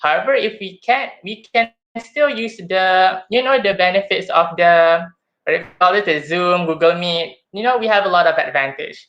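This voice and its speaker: A man's voice: high-pitched, normal speed, a bit bright, highs cut off. A young man with a Malaysian accent giving a chatty, somewhat hesitant student campaign speech, often calling his audience guys. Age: young adult. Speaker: student election candidate.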